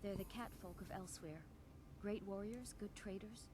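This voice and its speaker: A woman's voice: mid-range voice, moderate pace, noisy background, natural voice. An actress playing a friendly, plain-spoken young trader woman in a fantasy video game, with a folksy manner. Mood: matter-of-fact. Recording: game music playing behind.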